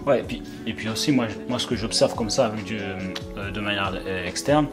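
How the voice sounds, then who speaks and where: A man in his thirties hosts a casual sit-down interview, his voice lowish and friendly. His questions wander and restart as he searches for words. A clear music bed runs under everything. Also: brisk. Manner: friendly, many short acknowledgments, rambling questions. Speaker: interviewer, host. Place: bright living room, indoors, on a sofa.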